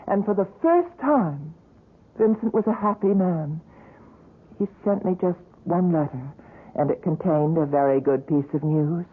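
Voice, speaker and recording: A woman's voice: mid-range voice, husky. An actress playing a devoted young woman who works at a gallery, in a 1970s radio drama, speaking fondly and reassuringly and sometimes narrating past events. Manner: fond, fluttery, reassuring. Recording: narrow lo-fi radio broadcast.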